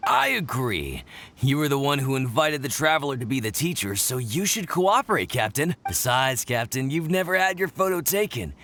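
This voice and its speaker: A man playing an earnest, dutiful ship's crewmate in a video game, brisk and respectfully insistent. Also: mid-range voice, very animated, fairly bright tone, slight background noise. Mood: insistent, reproachful. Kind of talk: video game character dialogue.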